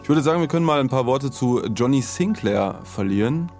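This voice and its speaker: A man co-hosting a podcast, explaining things casually in a measured way with pauses. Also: medium pitch, animated, a little mellow, faint music behind. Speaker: podcast co-host.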